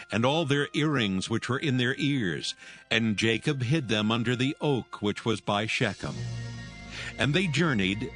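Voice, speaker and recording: A man narrates scripture from a script in a measured, solemn way. His pitch is average for a man, and music plays clearly behind him. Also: a bit bright.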